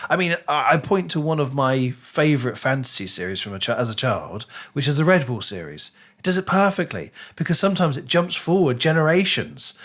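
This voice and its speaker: A man's voice: medium pitch, a bit bright. Emphatic man in casual discussion. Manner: emphatic, repeats words for emphasis. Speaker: book podcast co-host.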